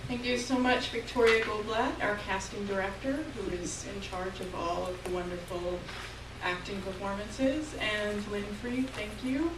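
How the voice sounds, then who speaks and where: A woman's voice: medium pitch, fairly even intonation, unhurried, noisy background. A woman hosting a reading event, making friendly announcements with occasional ums, heard from far off in an echoey hall. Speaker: event host. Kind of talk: stage announcement. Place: theater stage.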